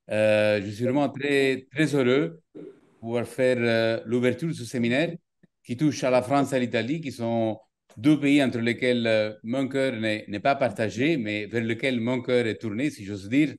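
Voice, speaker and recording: A middle-aged man from southern Italy with an Italian accent, a native Italian speaker, giving a formal welcome speech with halting, repeated phrases. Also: mid-range voice. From Capri, southern Italy. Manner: halting, with frequent repetitions. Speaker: business school director general giving an opening address.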